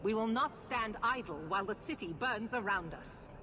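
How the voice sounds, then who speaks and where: An actress playing a stern, unyielding knight commander, with a commanding, severe and formal delivery in a fantasy game. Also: female voice, medium pitch, lively intonation, normal speed, noisy background, natural voice. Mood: cold, unyielding. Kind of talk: dramatic fantasy video game acting.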